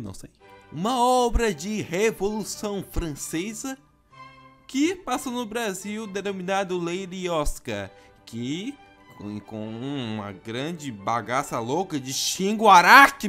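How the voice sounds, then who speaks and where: A man's voice: high-pitched, sharp tone. A man with a Brazilian accent hosting a manga commentary in an informal, slangy, casual way. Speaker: host of a manga commentary show.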